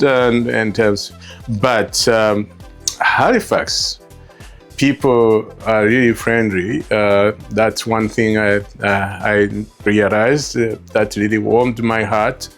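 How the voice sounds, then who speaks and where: A middle-aged man from Rwanda with a Rwandan accent tells his personal story as an interview guest, halting and repeating words as he reflects. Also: fairly low pitch. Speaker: interview guest, store co-founder.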